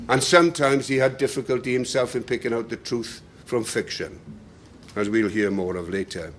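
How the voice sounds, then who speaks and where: A man giving a talk to a local group and reading passages aloud with slow, dramatic pacing. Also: medium pitch, a little hiss or hum. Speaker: speaker at a talk. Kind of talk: lecture with readings.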